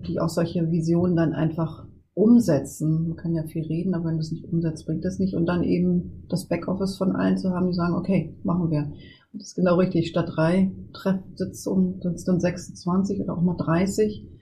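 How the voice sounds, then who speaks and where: A woman's voice: on the low side, little pitch movement, brisk, dark tone, slight background noise, low-quality recording. A woman speaking casually and with enthusiasm in a podcast conversation. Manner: enthusiastic, praising.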